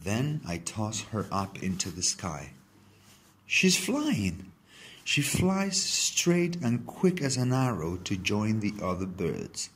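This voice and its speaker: A man reading a children's story aloud in the first person, with deliberate, dramatic phrasing. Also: fairly low pitch, sing-song, fairly bright tone, husky. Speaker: story reader.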